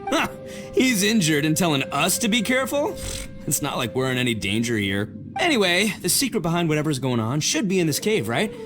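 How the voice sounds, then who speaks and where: A swaggering, theatrical oni gang boss for an anime game. His pitch leaps all over as he brags in loose slang. He sits in long reverb with clear music behind him. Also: very animated, fairly quick. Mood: cocky, upbeat. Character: boisterous, bragging oni gang boss, big-hearted and dramatic. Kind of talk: anime-style game cutscene acting.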